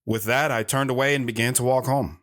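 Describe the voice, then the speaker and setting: A man's voice: medium pitch, steady tone, fairly quick, a bit bright. A man narrating his own past as a scripted drama, telling it plainly while looking back.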